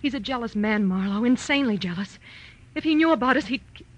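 A woman's voice: on the high side, expressive, quick pace. A woman acting an anxious, pleading young wife of a rich man in an old-time radio melodrama. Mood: pleading confession. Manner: dramatic, breathless pleading. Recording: muffled old radio recording.

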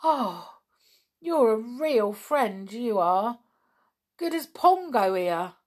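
A woman reader acts out a young boy from a children's adventure book. He is earnest and affectionate, his voice lifted high and slightly husky, with eager, emphatic bursts. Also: lively intonation, drawn-out words, darkish tone, heavily acted. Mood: delighted, grateful.

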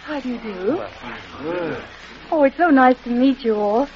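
An actress playing an affectionate, playful young woman in an old-time radio drama, speaking in an encouraging, tender and gently teasing way. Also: sits high, measured pace, a little hiss or hum. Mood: warm, reassuring. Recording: dull vintage radio recording, music behind.

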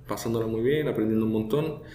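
A man's voice: on the low side, fast talker, mellow, roomy sound. A man in his thirties from Chile, with a Chilean Spanish accent, talking as a guest in an interview, with many hesitations and repeated words. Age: 30s. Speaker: guest brewmaster. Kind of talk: relaxed conversational interview.

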